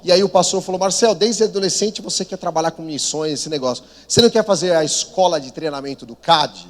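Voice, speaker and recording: A man's voice: on the high side, quick pace. Paulista guy in his 30s preaching, easygoing and joking about himself, big echoey church hall. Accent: Paulista Brazilian Portuguese. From São Paulo, Brazil. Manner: self-deprecating, chatty and animated. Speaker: missionary preacher. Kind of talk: conversational sermon storytelling. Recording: long reverb of a church hall.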